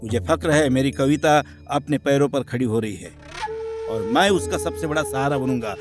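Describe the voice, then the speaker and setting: A man's voice: medium pitch, moderate pace, over music, lightly acted. A man playing a proud, supportive husband in a moral-story cartoon, speaking earnestly and firmly with challenging questions. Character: proud, supportive young husband, firm and principled.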